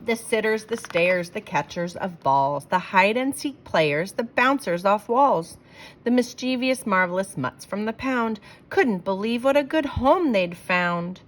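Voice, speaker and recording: A woman reading rhyming verse aloud for children in an expressive, theatrical storytelling way, leaning on the rhymes. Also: mid-range voice, unhurried, poor audio.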